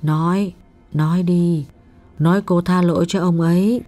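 A man's voice: sits high, fairly even intonation, measured pace, dark tone, soft background music, pitched above the performer's own voice. A man voicing a female spirit medium who urges and coaxes firmly, in a raised voice. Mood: urgent coaxing. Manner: urgent, insistent urging. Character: female medium, firm, coaxing and insistent. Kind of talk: audiobook narration.

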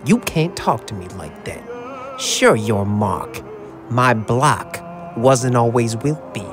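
An actor playing a smug rival mobster in a crime audio drama, taunting with a condescending drawl. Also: male voice, mid-range voice, expressive, slow, over music. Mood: menacing. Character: smug male rival mobster, a made man.

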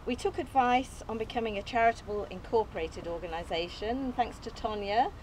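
A woman's voice: a little high, fairly quick, a little hiss or hum. An older woman delivering an earnest, modest opening speech outdoors from notes, with small hesitations and light laughs, picked up by a distant camera. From England. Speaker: allotment group founder giving an opening speech. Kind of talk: prepared speech read from notes. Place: outdoors on an open field site. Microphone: camera at a distance, no mic.

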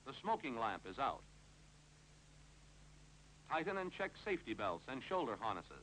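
American guy doing old 1950s training film narration, crisp bright voice, up-and-down intonation, clipped and instructional, bit of film hiss and hum. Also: mid-range voice, sing-song, normal speed, low-quality recording. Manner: clipped, authoritative, instructional delivery.

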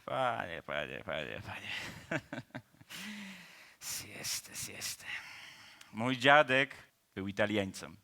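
A man acting a cheeky Parisian man about town in an operetta radio play. His voice is bright and swings widely in pitch as he teases in folksy, colloquial phrases. He is easygoing and theatrical, stretching out his words. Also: big pitch swings, vocal fry, exaggerated voice. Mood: amused teasing. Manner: colloquial, teasing, chuckling, drawn-out theatrical phrasing.

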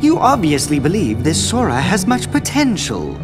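A man's voice: on the high side, wildly swooping pitch, normal speed, over music. An actor voicing a haughty, condescending older male scientist. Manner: condescending, precise.

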